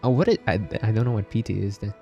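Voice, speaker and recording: Young male game streamer, lowish voice, friendly and laid-back, casual chat, faint music behind. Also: rapid-fire, gravelly, dark tone.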